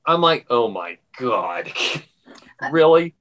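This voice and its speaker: A woman's voice: low voice, a bit bright, muffled recording. A woman chatting casually on a livestream in an informal, offhand way.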